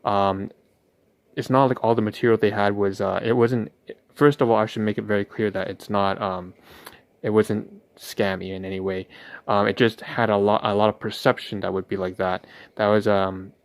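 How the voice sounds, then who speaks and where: Guy w low voice rambling on a livestream, wordy n hesitant, pretty monotone, dry close sound. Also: normal speed, no room sound. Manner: hesitant, wordy, formal phrasing. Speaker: livestream host. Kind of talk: rambling vlog narration while sorting paperwork.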